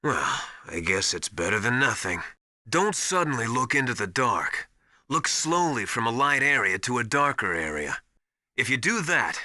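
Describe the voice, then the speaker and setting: Forceful, stern military survival instructor. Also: male voice, medium pitch, no echo, clean studio sound. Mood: stern, instructive. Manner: brisk, emphatic, commanding, lecturing. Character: stern veteran military survival instructor, seasoned drill-master. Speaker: mission survival adviser. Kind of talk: video game voice acting, instructional radio briefings.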